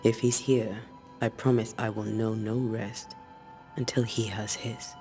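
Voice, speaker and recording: A man's voice: fairly low pitch, little pitch movement, unhurried, over music. An actor playing an earnest, courteous ghost hunter of colonial times in a video game, direct in his questioning. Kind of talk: dramatic video game acting.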